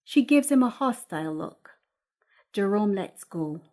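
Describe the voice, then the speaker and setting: A woman's voice: mid-range voice, animated, measured pace, darkish tone. A woman narrating a screenplay, reading out scene headings and stage directions. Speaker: script reader, narrator.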